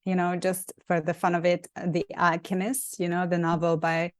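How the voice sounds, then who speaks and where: Hungarian woman, 40s, lower register, dry close sound; reflective guest who restarts phrases. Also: level tone, normal speed, no room sound. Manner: thoughtful, with frequent ums and restarts. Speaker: guest, actress and acting coach. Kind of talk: casual interview chat.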